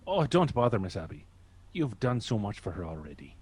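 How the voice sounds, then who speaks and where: A man's voice: on the low side, very animated, fairly quick, loud music behind the voice. A man acting in a radio play as an affable, plain-spoken police officer with a casual, rambling manner. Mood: affable, easygoing.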